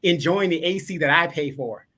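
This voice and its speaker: A man's voice: fairly high pitch, normal speed, sharp tone. A man calling in to a livestream, joking and bantering in a laid-back way. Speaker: caller on a livestream. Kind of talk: casual banter.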